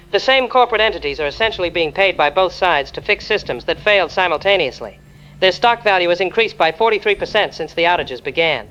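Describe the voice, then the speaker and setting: A man's voice: high-pitched, fairly bright tone. A man playing a serious, analytical investigative journalist giving precise findings in an audio drama. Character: serious investigative journalist exposing corporate profiteering. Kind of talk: formal news testimony in audio drama. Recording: muffled lo-fi sound, noisy ambience.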